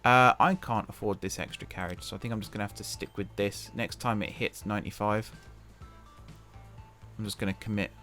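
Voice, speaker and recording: A man talks his way through a game in a relaxed, chatty manner, often poking fun at his own decisions. His voice sits on the lower side and sounds a bit croaky and worn. Music plays in the background under his voice. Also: a little fast. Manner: chatty, thinking aloud, self-deprecating. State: croaky from talking all day. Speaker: gaming channel host. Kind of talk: casual gameplay commentary.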